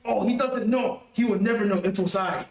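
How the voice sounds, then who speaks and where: An actor playing a treacherous, cowardly ex-convict in an excitable, theatrical old-time radio melodrama style. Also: male voice, high voice, moderate pace, vocal fry. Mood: frightened pleading. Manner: theatrical, excitable pleading and threatening. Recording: echoey room, distant mic.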